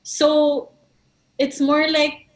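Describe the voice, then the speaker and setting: A woman's voice: high voice, monotone, very slow. A woman, a songwriter in a band, answering interview questions casually and mixing English with Tagalog while she thinks aloud.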